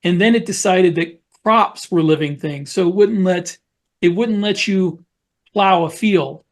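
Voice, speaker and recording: A man co-hosting a podcast, explaining things in a casual, storytelling way as part of a panel discussion. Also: sits high. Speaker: podcast co-host.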